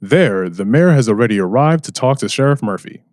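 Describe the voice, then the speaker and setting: A man's voice: mid-range voice, animated, fairly quick, a little mellow, no room sound, clean studio sound, natural voice. A man playing a dramatic narrator, reading scripted narration for a mystery radio serial. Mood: suspenseful.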